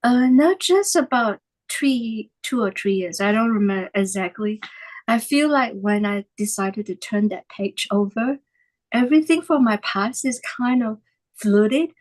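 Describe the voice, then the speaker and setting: Hesitant higher-voiced woman guest. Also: lively intonation, a little slow, a little mellow, low-quality recording. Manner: hesitant, searching for words, broken phrasing. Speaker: guest, tea leaf reader. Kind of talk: conversational interview.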